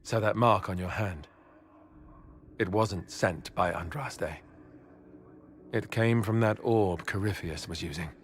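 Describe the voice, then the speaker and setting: A man's voice: fairly low pitch, slow pace, long pauses. A man playing a wry, plain-spoken warrior in a fantasy video game, with a dry conversational delivery. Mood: wry, wary.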